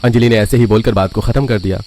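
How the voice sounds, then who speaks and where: A man's voice: fairly low pitch, fairly even intonation, fast talker, warm tone, slight background noise. A man narrating a scripted cartoon family story.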